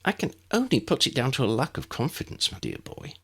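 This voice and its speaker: A man voicing a kindly, excitable little old wizard professor with a genteel, precise, avuncular manner. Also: mid-range voice, a little fast, husky, voice pushed up, heavily acted. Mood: reassuring.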